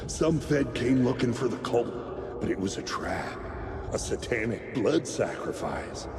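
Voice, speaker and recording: An actor playing a gruff, skeptical male cop trading rumors in a game drama. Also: mid-range voice, very animated, measured pace. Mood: dry, skeptical. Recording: echoey hall, heavy noise behind.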